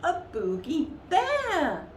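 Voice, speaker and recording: A woman voicing the feisty, bossy littlest brown bear in a children's storybook, shouting out orders. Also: sits high, huge pitch swings, very slow, roomy sound, slight background noise, voice pushed up, exaggerated voice. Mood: combative.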